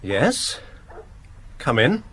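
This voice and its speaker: A guarded gentleman in a radio drama, stiffly courteous and precise. His pitch moves widely within a middle register, and there is clear noise behind him. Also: wildly swooping pitch, extremely slow, exaggerated voice. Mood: stiff, polite. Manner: stiffly polite, precise phrasing. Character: formal, guarded, impoverished foreign gentleman, murder suspect.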